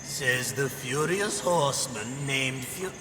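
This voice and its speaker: A man's voice: medium pitch, expressive, slow, background noise. A male actor playing a sly, mock-polite demon merchant in a video game, theatrical and mischievous. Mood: sly, teasing. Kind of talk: video game character acting. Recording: long reverb, music behind.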